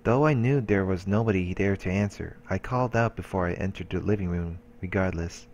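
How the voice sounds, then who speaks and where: A man's voice: low-pitched, fairly quick, a little mellow, a little hiss or hum. A man hosting a let's play, reading game narration aloud with occasional casual asides.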